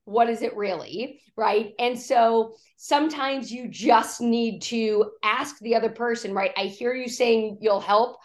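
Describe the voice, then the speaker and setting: A woman executive coach, hoarse from a cough, talking in a podcast interview and acting out quoted speech as she tells stories. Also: fairly high pitch, unhurried, hardly any pauses. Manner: animated storytelling, acts out quoted speech.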